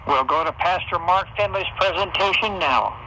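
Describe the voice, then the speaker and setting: A man's voice: high voice, normal speed, bright, forceful tone. A man hosting a religious radio program with a scripted introduction, heard over shortwave radio. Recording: noisy shortwave radio broadcast.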